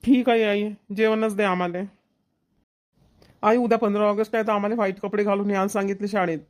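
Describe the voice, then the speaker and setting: Someone doing a complainy cartoon brother, village kid, rattles words off fast, vidarbha marathi accent. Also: medium pitch, flat delivery, close to an everyday voice. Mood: pleading. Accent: Maharashtrian, Vidarbha Marathi. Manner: complaining, argumentative. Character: whiny, argumentative boy, brother in village family. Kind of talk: cartoon family comedy dialogue.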